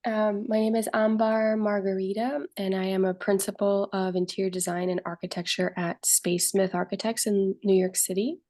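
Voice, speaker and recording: A woman from Puerto Rico, a little sick, giving a brief low-key introduction on a video call. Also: flat delivery, normal speed, hardly any pauses, warm tone. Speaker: architect.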